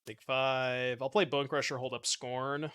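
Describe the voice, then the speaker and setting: A man's voice: medium pitch, flat delivery, unhurried, fairly bright tone, clear, resonant tone. A man in his thirties streaming a card game from his home setup, chatting and joking with his chat while he plays, using a desk mic. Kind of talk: casual gameplay commentary reading chat. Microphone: desk mic with webcam.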